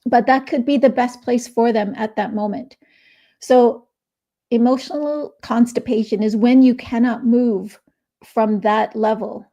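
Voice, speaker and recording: Middle-aged woman guest, mellow and fairly high, chatty and rambling, over a video call. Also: steady tone, unhurried. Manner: chatty, explains at length with many fillers. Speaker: guest author. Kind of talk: casual interview chat. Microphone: remote video call.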